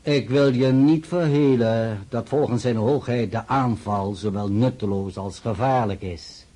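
A man acting a calm, dutiful staff general in a radio play, formal and matter-of-fact. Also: mid-range voice, measured pace, husky.

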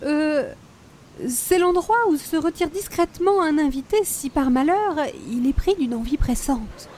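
A woman's voice: sits high, unhurried, darkish tone, a little hiss or hum. A woman playing an affected, snobbish older hostess with a Quebec French accent and precious, formal diction, in radio comedy style. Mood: prim, evasive. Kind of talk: old-time radio comedy acting.

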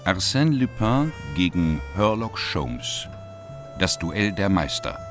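A male announcer reading the title and credits in a slow, solemn style. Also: low-pitched, sing-song, over music.

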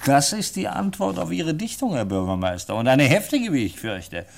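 Creaky, skeptical official. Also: male voice, fairly high pitch, big pitch swings, moderate pace. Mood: skeptical, warning. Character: skeptical, needling male official. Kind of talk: German radio play acting.

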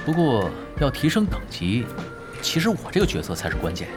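A man's voice: medium pitch, wildly swooping pitch, brisk. An actor playing a sly, shameless, laid-back young male gamer in a Chinese audio drama, teasing and mock-innocent. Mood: coaxing. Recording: music behind the voice.

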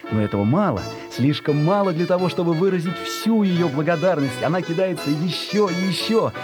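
Higher-voiced male storyteller over music. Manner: expressive, deliberate dramatic pauses. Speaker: narrator. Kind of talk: dramatic scripted story narration.

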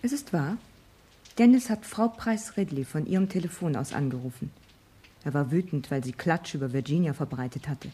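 An actress in a German radio play, playing a weary, self-possessed vicar's wife with a guarded, dry manner. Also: female voice, low voice, normal speed, warm tone, slightly rough. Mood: earnest, firm. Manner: guarded, drily rebuffing.

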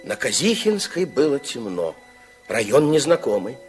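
A man narrating a fairy-tale radio play from the 1960s in an expressive, theatrical way. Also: medium pitch, moderate pace, faint music behind. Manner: theatrical, storytelling.

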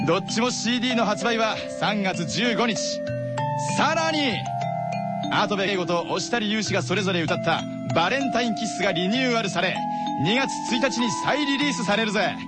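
A man playing a cocky, brash teenage tennis player who presents radio news in rough boyish speech. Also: sits high, expressive, fairly quick. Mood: cocky, excited. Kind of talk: scripted anime radio news segment. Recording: loud music under the voice.

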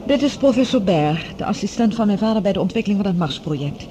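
A woman's voice: mid-range voice, animated, quick pace, noisy background, close to an everyday voice. An actress playing a guarded, resolute woman in grief, speaking with formal composure in radio drama style. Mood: composed, resolute. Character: grieving, guarded, resolute daughter of a scientist.

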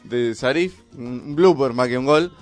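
Argentine man, radio host, bantering, mid pitch. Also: soft background music. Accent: Argentine Spanish. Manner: quick, bantering, amused. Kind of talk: casual radio chat with football results.

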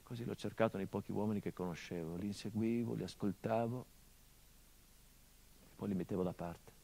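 An actor playing a hardened, grieving middle-aged son, delivering a measured theatrical monologue that drops to hushed tones. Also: male voice, on the low side, creaky. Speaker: stage actor.

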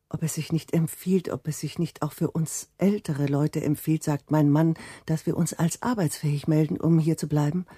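An elderly wife in a radio drama, anxious and deferential. Her voice is dark and rough-edged, recorded very dry. She asks hesitantly but keeps pressing. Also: sits low, no room sound. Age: older. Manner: hesitant, insistent, deferential questioning. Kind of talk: radio play acting.

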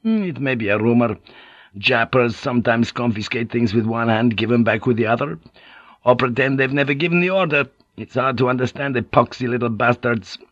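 Man putting on a brash jovial sea pilot, raised pitch, loud and profane, dry close sound, dull cassette tape. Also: fairly quick, no room sound, close to an everyday voice. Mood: boisterous. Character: boisterous, coarse, swaggering male sea pilot.

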